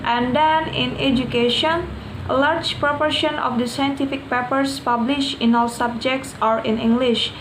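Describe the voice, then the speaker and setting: A woman's voice: sits high, steady tone. A young woman with an Indonesian accent, a student carefully reading out her prepared answers for a class assignment. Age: young adult. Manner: careful, measured reading with short pauses. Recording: echoey room, faint background noise.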